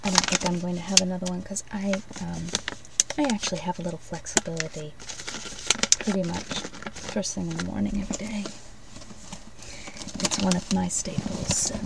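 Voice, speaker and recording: A woman doing an ASMR role play as a shopkeeper, speaking softly and gently, often breathy and near a whisper, in unhurried short phrases. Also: fairly low pitch, steady tone, distant mic, very noisy. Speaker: ASMR host playing a shopkeeper giving card readings.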